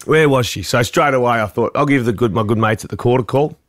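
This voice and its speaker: A man's voice: medium pitch, quick pace, fairly bright tone, no echo. A radio host with a mid-range voice ribs his co-host in a mock-serious way. He puts on voices for his retold calls. The sound is close and dry. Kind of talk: casual banter.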